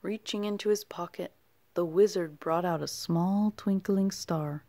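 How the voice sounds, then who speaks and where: Unhurried, slightly gravelly woman reading a storybook. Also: on the low side, warm tone. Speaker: storybook reader. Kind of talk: children's story read-aloud, scripted narration.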